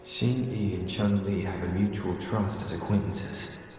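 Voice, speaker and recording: A man voicing the calm inner thoughts of a video game hero, with heavy echo and long reverb. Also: sits low, unhurried. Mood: calm, reasoning. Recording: heavily echoing, long reverb. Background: faint music.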